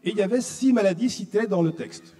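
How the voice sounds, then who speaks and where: A man's voice: high voice, brisk, warm tone. A man from France, giving a didactic conference lecture that he paces with rhetorical questions. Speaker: conference speaker. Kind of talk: explanatory public lecture. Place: reverberant conference hall. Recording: long hall reverb.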